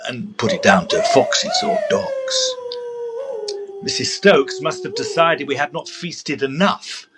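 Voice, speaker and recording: A man playing a brisk, sceptical gentleman ghost investigator who narrates a period audio drama with dry pomposity. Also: a little high, noisy background.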